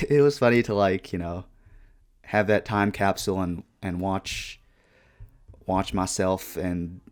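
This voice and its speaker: Deadpan, low-voiced man in his twenties. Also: clear, resonant tone. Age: 20s. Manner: deadpan monotone with many fillers. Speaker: YouTuber. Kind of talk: reflective casual talk over gameplay.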